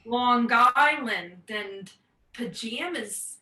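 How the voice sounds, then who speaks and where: A woman acting a sarcastic grown daughter in a stage play. Her voice is high and sharp, with a dry, accusing edge, and it echoes around the room. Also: drawn-out words, exaggerated voice. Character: resentful, sarcastic adult daughter, a weary young mother.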